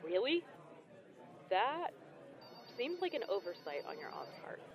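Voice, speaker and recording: A man's voice: high voice, sing-song, unhurried, gravelly, lo-fi sound, a crowd in the background, full character voice. An actor playing a blunt, cheeky young male biologist in an audio drama, with a dry, teasing delivery. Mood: candid.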